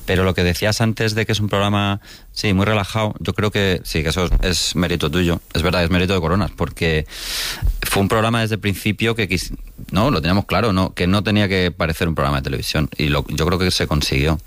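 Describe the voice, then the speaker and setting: A man talks in an easygoing, agreeable way as a studio guest. His voice is very deep. The room sounds dry and close. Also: fairly even intonation, fast talker, a bit bright, dry recording. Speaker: show writer and guest. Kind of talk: radio conversation. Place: radio studio.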